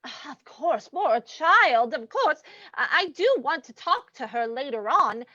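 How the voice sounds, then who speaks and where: A woman's voice: high-pitched, animated, bright, forceful tone, dry recording, exaggerated voice. A woman acts out a covetous, fluttery elderly lady from an old-time radio mystery. Her voice swoops about at a leisurely pace, full of hesitations. The sound is dull and close, with little room. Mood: fluttery, apologetic. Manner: fluttery, halting, repeats herself. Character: dithering elderly gossipy lady hotel guest, covetous.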